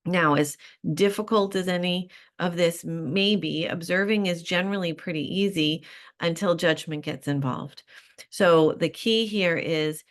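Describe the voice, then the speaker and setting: Calm, lower-voiced woman giving gentle advice. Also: unhurried. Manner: calm, reflective, encouraging, with frequent fillers. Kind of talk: solo advice talk.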